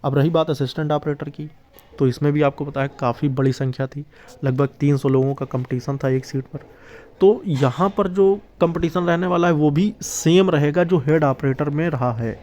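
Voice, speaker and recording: A man's voice: fairly high pitch, moderate pace, darkish tone. A man hosting an exam-prep video, explaining informally and emphatically to candidates, often checking that they follow. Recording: dull, lo-fi sound.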